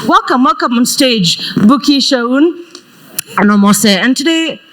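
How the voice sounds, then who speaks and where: A woman from Kenya moderates a conference panel with a loud, playful delivery and quick laughs. Her voice sits a little above the usual female range. She speaks through a handheld mic on stage in front of an audience. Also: sits high, animated.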